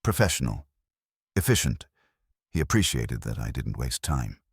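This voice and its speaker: A man's voice: extremely low pitch, big pitch swings, normal speed, breathy edge, dry recording, studio quality, full character voice. A man voicing a cool, guarded professional woman in a detective audiobook, with clipped, composed, precise speech. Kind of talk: detective audiobook character dialogue.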